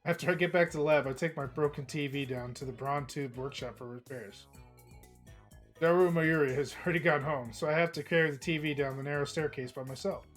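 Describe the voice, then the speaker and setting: A hoarse man streaming, reading a visual novel aloud in a casual, chatty way with small slips. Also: a little high, brisk, faint music behind. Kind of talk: narrating a visual novel live.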